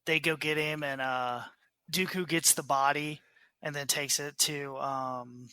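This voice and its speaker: Male podcast co-host, sharp bright voice, dry sound, casual off-the-cuff recounting. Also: a little high, steady tone, measured pace, smooth, dry recording. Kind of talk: casual chat.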